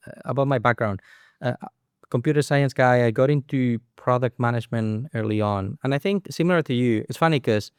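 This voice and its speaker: A man's voice: mid-range voice, fairly quick, smooth, dry recording, studio quality. A man co-hosting a podcast as the company's CEO, chatting casually with many fillers and restarts. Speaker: co-founder and CEO, podcast host. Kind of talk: casual conversational podcast chat.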